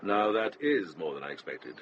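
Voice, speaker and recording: An actor playing a kindly, shrewd older monk, speaking in a measured, courteous, gently wry way in a radio drama. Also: male voice, low-pitched, sing-song, lo-fi sound, a little hiss or hum. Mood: warm courtesy. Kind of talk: British radio drama acting.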